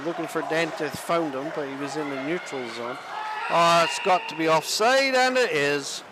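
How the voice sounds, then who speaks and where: A man giving live play-by-play commentary on an ice hockey game, chatty and self-deprecating, sometimes pausing to find his words. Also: a little high, noisy background. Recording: music clearly audible behind the voice. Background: music.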